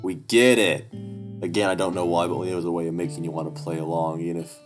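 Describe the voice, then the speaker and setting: A man's voice: deep voice, fairly even intonation, quick pace. A man reading a story game aloud and commenting on it, swearing often and restarting his sentences. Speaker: gamer playing a story game. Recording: music playing clearly behind.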